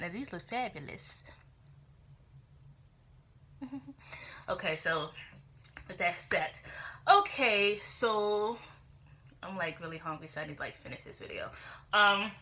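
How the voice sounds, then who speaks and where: Chatty young woman, bright voice. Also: medium pitch. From Chicago, USA. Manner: rambling and chatty, full of "you know" and "like". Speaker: vlogger. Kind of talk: casual chat to camera.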